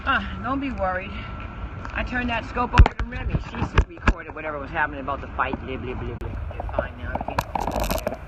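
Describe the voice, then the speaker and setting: A man's voice: on the high side, quick pace. A man doing a live street news stream from downtown, talking in a big, emphatic voice and building up drama. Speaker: livestreaming street reporter. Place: outdoors on a downtown city street and park step. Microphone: phone livestream. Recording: noisy outdoor city street.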